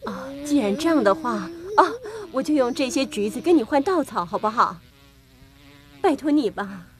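A woman playing a gentle, polite mother in a cartoon, coaxing and pleading. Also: high-pitched, lively intonation, moderate pace. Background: music.

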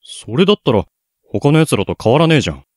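A man's voice: mid-range voice, very animated, quick pace, darkish tone, no room sound, clean studio sound. A young man playing a blunt, sulky, bashful teenage schoolboy in a radio drama. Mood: anxious. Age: young adult. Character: grumpy, bashful lovestruck schoolboy Ryo. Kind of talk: Japanese student radio drama acting.

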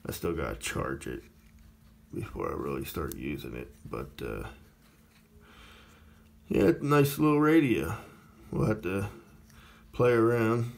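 Brief: plainspoken male reviewer, very deep and gravelly, unhurried, rambling demo talk. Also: lively intonation, a little mellow. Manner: plainspoken, rambling, many uh fillers. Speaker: radio reviewer. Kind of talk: casual product demo.

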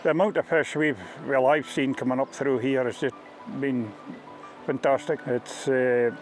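A middle-aged man with a Scottish accent, working as a fishing ghillie, explains things informally in a halting, plain-spoken way. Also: medium pitch, steady tone, soft background music, rough recording. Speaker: fishing ghillie on a river beat. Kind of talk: informal interview-style explanation of a fishing beat. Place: outdoors on a riverbank. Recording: outdoor ambient noise behind voice.

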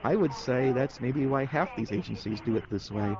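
A man's voice: medium pitch, normal speed. A man chatting as an interviewee in a wry, colloquial way, in a muffled recording. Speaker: interviewee, writer. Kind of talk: casual interview talk. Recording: muffled, with crowd-like noise behind.

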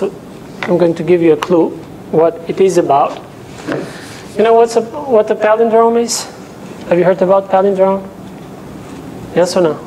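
A man's voice: high-pitched, mellow, a little hiss or hum, low-quality recording. A young man teaching a class in a lecture room, speaking English as a second language and stopping often to check that students follow. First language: not English. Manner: explains step by step, checks in often with "okay?". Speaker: teaching assistant. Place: lecture room with a projector and whiteboard. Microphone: lapel mic.

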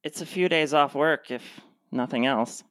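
A woman's voice: low voice, normal speed, no room sound, close to an everyday voice. An actress playing a cutting, sarcastic wife who is fed up with her husband in a radio play. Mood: flat, irritated. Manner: dry, sarcastic.

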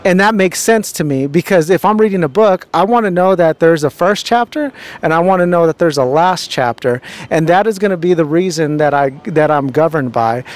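A man's voice: a little high, expressive, a little fast, few pauses. A young man with a handheld mic interviewing people outdoors on a city street, talking earnestly and preachily with many fillers and restarts.

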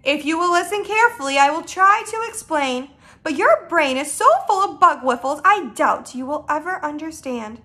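A woman voicing an excitable, indignant giant man in a storybook, with muddled grammar and emphatic exclamations. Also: sits high, voice pushed up. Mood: explaining. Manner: emphatic, exclaiming, drawn-out words in mangled grammar.

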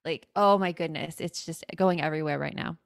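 A woman's voice: low-pitched, fairly quick, creaky, clean tone, dry recording. Chatty woman podcast host, dry close mic. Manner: chatty and laughing often. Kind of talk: casual chat.

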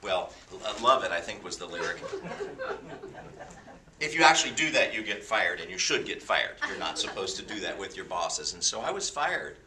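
A man's voice: on the low side, very animated, normal speed, recorded from a distance, crowd noise. A man in his fifties leading a class with an informal sermon, mixing stories with jokey, self-deprecating asides. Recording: roomy sound from away from the mic.

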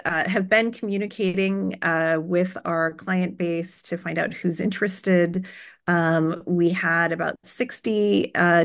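A woman's voice: fairly low pitch, creaky. A woman hosting an informal product update webinar over a video call, talking in a conversational, explanatory way. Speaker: host presenting a product update. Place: online video meeting. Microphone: video call microphone.

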